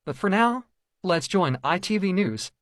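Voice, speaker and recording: A man's voice: sits high, animated, a little slow, dry recording, clean studio sound. A man playing a TV continuity announcer, formally and apologetically interrupting with grave news. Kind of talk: amateur mock emergency broadcast announcement.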